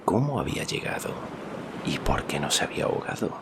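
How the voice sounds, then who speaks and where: A man narrating a scripted fantasy story as an audiobook, with a measured, suspenseful delivery and a gravelly voice. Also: extremely low pitch, big pitch swings, very noisy. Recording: music behind the voice.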